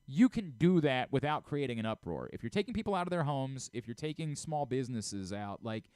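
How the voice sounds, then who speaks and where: A man hosting a casual sports talk show from a radio studio. His voice is clear and resonant at an average pitch, with very little room sound. He talks emphatically, repeating phrases to drive the point home. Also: big pitch swings, a little fast, darkish tone, no echo.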